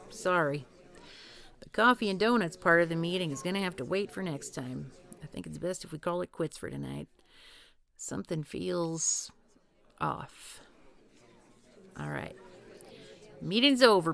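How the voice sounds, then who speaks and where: A woman voicing a blunt, foul-mouthed leader of a paranormal group in a scripted audio roleplay. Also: fairly low pitch. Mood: wry. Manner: gruff, wisecracking, swears often.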